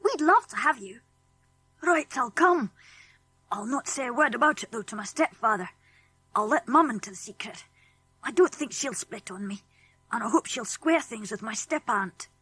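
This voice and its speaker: A woman's voice: a little high, lively intonation, moderate pace, pushed and bright, slightly rough, soft background music, full character voice. A woman voicing an eager, plucky English schoolboy in a children's audiobook, boyish and earnest, with a British accent. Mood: eager, delighted. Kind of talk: children's audiobook character acting.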